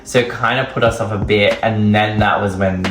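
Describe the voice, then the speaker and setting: A man in his thirties, co-hosting a casual question-and-answer chat, talkative and quick to laugh. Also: lowish voice, steady tone. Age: 30s. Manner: chatty, laughs often, drawn-out answers. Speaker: co-host. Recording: echoey room with music behind.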